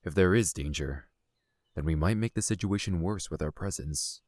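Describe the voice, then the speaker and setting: A dutiful knight from a fantasy audio drama, acted by a man. He is low-pitched and formal, cautious and composed, recorded very dry. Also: moderate pace, a little mellow, no room sound. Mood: composed, concerned.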